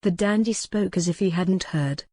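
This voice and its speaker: Female audiobook narrator for historical romance, lower-pitched voice, dry close studio sound. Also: moderate pace, dark tone, slightly rough, dry recording.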